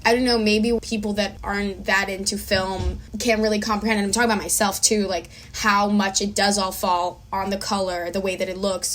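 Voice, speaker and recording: A woman's voice: mid-range voice, fairly bright tone. A woman giving earnest, emphatic answers in a casual interview as a guest actor and filmmaker.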